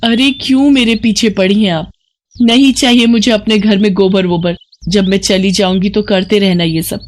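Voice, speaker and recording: A narrator voicing a bratty, short-tempered young city daughter-in-law who scolds indignantly in an animated moral story. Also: medium pitch, normal speed, lightly acted. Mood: indignant, scolding.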